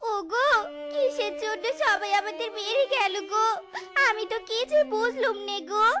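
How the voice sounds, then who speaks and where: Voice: very high-pitched, measured pace, bright, forceful tone, faint music behind, heavily acted. A performer voicing a poor, plaintive animal wife in a cartoon story, protesting her lot. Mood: lamenting.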